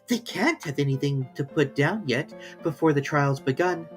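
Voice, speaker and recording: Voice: medium pitch, animated, moderate pace, soft background music, pitched above the performer's own voice, close to an everyday voice. A storyteller voicing a curious, opinionated little girl with a prim, wondering manner. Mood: critical.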